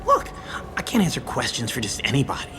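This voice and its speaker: An actor playing a frightened young street informant in a video game, jittery and stammering. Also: male voice, medium pitch, huge pitch swings, quick pace, over music. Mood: panicked, pleading.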